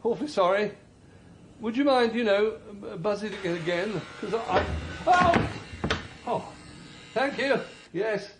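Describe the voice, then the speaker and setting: A man's voice: sits high, lively intonation, a little slow, pauses often, background noise. An actor playing a weary older man on a long walk, speaking in a hesitant, stammering, apologetic way. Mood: flustered. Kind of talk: radio drama acting.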